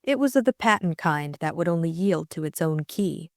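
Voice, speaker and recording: A woman's voice: on the low side, lively intonation, normal speed, dry recording, clean studio sound. A woman narrating a classic mystery novel as a scripted audiobook reading.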